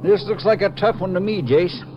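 An actor playing a folksy, doubtful county sheriff in Texas for a 1950s western radio drama. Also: male voice, sits high, normal speed, close to an everyday voice. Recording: muffled, noisy vintage radio recording.

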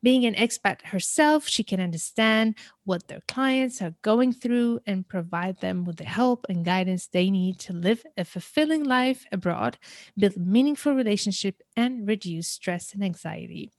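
A woman's voice: mid-range voice, normal speed, no room sound, studio quality. A woman hosting a podcast interview, upbeat and enthusiastic, introducing her guest. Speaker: podcast host.

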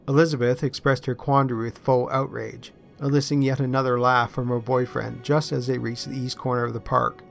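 A man narrates a story in a scripted, dramatised storybook style. His voice sits at a medium pitch, with music playing behind it. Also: little pitch movement, a little fast, creaky, few pauses. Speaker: guest story reader. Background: music and added sound effects.

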